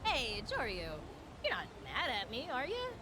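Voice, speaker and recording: A woman's voice: high-pitched, wildly swooping pitch, a little slow, background noise, full character voice. An actress voicing a breezy, streetwise young woman underworld fixer in a video game, talking in a casual, slangy, cajoling way.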